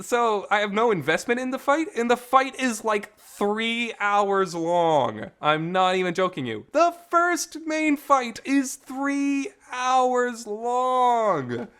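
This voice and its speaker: A man's voice: high voice, a bit bright. A man hosting a casual, unscripted podcast-style review, speaking colloquially and emphatically with some mild swearing, in a reverberant makeshift home recording. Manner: colloquial, mildly profane, emphatic. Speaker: host of a visual novel review channel.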